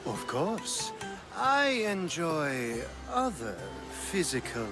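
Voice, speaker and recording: A man playing an urbane, flirtatious Athenian gentleman in a video game, with a theatrical, teasing manner. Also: on the high side, very animated, very slow, music in the background, exaggerated voice. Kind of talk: video game character acting.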